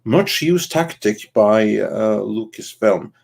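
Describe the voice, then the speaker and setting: A man's voice: lowish voice, animated, slow pace, creaky, darkish tone, husky. A man hosting a podcast livestream and reading out viewer comments. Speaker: podcast host.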